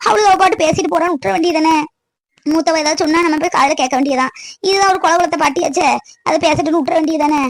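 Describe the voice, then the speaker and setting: A woman's voice: extremely high pitch, quick pace, dry recording. A woman playing an indignant elder sister in a family drama, scolding and exclaiming reproachfully in a southern Tamil Nadu accent.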